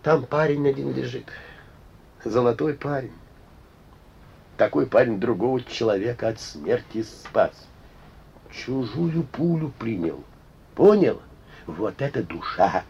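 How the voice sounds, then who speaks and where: An actor playing a rambling, sighing, blunt and mocking injured inventor in a Soviet radio play. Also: male voice, mid-range voice, lively intonation, a little slow, gravelly, pauses often, a little mellow, slightly rough, heavily acted. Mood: mocking, earnest. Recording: muffled old radio recording.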